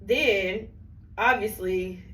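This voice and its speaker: A hoarse woman co-hosting a film podcast, chatting casually in slang and often restarting her sentences. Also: medium pitch, extremely slow, fairly bright tone. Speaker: podcast co-host. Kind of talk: casual chat. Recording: roomy, a bit distant, faint hiss.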